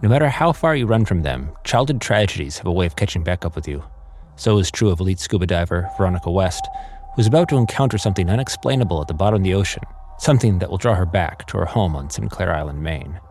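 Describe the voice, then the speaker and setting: A man's voice: low-pitched, animated, fast, noisy background. A man reading a dramatic, scripted promo as a trailer announcer. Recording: music clearly behind the voice.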